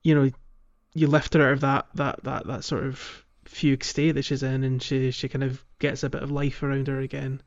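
A man co-hosting a podcast, chatting casually about a video game in a halting, conversational way. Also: mid-range voice, completely flat delivery, gravelly, highs cut off. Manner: halting, peppered with "like" and "you know". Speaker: podcast co-host.

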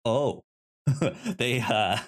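A man's voice: mid-range voice, expressive, very slow, fairly bright tone. A man co-hosting a podcast in casual chat about film, stammering and repeating words as he goes. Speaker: podcast co-host.

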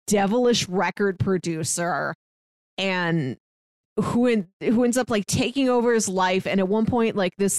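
A woman talking movies on a podcast with a lively, chatty manner. Her voice sits in an ordinary middle pitch. The sound is dry and close, with no room around it. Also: no echo. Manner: chatty, animated, laughs while talking. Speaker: podcast co-host. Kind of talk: casual movie review chat.

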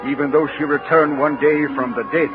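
Muffled radio play under loud music. Actor as stern, unforgiving gentleman, highish animated voice. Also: fairly quick, lightly acted. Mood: bitter, condemning. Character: stern, judgmental Southern gentleman, grave and unforgiving. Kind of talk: 1940s radio drama acting.

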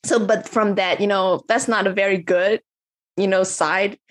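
A woman's voice: medium pitch, steady tone, normal speed, no room sound. A woman from Vietnam, a coach and podcast guest, talking in a rambling, casual way with many fillers and restarts. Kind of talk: casual interview chat.

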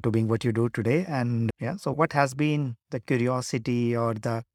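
A man interviews a guest as a podcast host. His voice sits at an average pitch and is recorded dry, with little room sound. He phrases his questions conversationally and reflectively, feeling his way into them with some hesitation. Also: normal speed, darkish tone, no room sound.

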